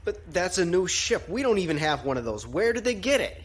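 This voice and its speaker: An actor playing a questioning, respectful junior lieutenant in a science fiction audio drama. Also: male voice, high-pitched, sing-song, a little fast, slightly rough, heavily acted. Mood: questioning, concerned. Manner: respectful, formal. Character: questioning, deferential male junior naval lieutenant.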